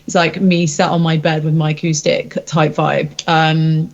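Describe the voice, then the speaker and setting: A woman's voice: sits low, little pitch movement, measured pace, highs cut off. A woman, a rock singer-songwriter, chatting casually in an interview in an informal, rambling, self-deprecating way.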